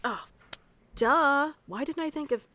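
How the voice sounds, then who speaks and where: A woman's voice: high voice, slow pace, sharp tone, no echo, heavily acted. A woman playing a haughty, easily flustered tsundere young wizard mentor in an ASMR roleplay, bossy and teasing, stammering when flustered. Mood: casual, explaining. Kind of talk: ASMR roleplay acting addressed to the listener.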